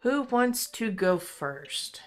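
A woman's voice: a little high, sing-song, drawn-out words, faint music behind. A woman acting as game master, narrating a tabletop game in descriptive second-person storytelling and casually refereeing the rules.

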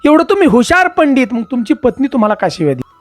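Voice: high-pitched, very animated, quick pace, no room sound, soft background music, pitched above the performer's own voice. A storyteller voicing a devout, aggrieved Brahmin man, pleading and challenging with questions.

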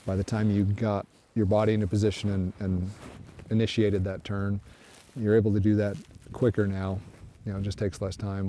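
A middle-aged man talks outdoors in the snow in an easygoing, halting way, often restarting his sentences. His voice is fairly low and very mellow. A faint hiss of outdoor noise sits under it. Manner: relaxed, halting, many restarts and fillers. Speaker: snowmobile magazine reviewer. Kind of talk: casual first-ride review to camera. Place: outdoors on snowy flat by trees. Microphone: camera framed from a distance, seated on a snowmobile.

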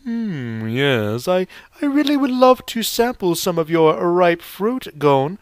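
A man's voice: sits high, sing-song, takes it slowly, no echo, exaggerated voice. A man voicing a sly, creepy, flirtatious magician villain from an anime, with a lilting, insinuating manner. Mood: leering.

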